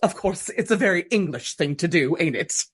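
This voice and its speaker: An actress in a radio play voicing a gushing, melodramatic amateur stage actress of the Regency era, speaking with theatrical earnestness. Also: female voice, on the low side, animated, normal speed. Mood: gushing, proud. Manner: theatrical, breathy earnestness, dramatic flourishes.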